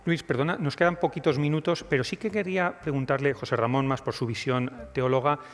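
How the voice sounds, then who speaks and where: A man's voice: mid-range voice, fairly even intonation, brisk, in a large hall. A man moderating a panel, who asks his questions politely and deferentially. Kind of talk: panel discussion.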